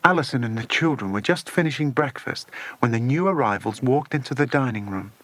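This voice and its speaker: A man narrating a children's adventure audiobook in an expressive scripted reading. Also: mid-range voice, fairly quick, no echo.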